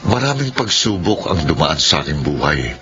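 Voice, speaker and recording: A man's voice: very deep voice, very animated, moderate pace. An actor with a Filipino accent playing a remorseful, protective middle-aged ex-convict father in an emotional radio drama. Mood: solemn, testifying. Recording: dull lo-fi broadcast, loud music behind.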